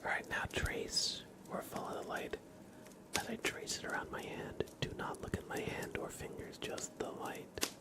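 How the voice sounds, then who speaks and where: A man hosting an ASMR livestream in a breathy whisper, speaking gently with playful mock-seriousness as he acts out little roleplays. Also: moderate pace. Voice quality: breathy whisper, mostly unvoiced.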